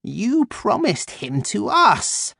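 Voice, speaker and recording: A man voicing a fierce female crow leader, speaking higher and harsher, with venom in her voice. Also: huge pitch swings, slow, creaky, pushed and bright, breathy edge, no room sound, clean studio sound, heavily acted. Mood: venomous.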